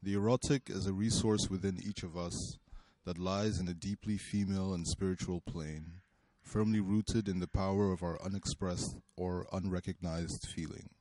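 A man from Cameroon introducing a panel talk and reading a text aloud, pausing often with ums. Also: sits low, fairly even intonation, mellow, no room sound. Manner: halting, with many ums. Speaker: panel speaker and reader.

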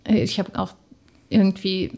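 A woman's voice: medium pitch, little pitch movement, moderate pace, creaky, a little mellow. Woman from Germany, middle-aged, guest, hesitant speech, casual storytelling. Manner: hesitant storytelling, many fillers. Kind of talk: casual conversation.

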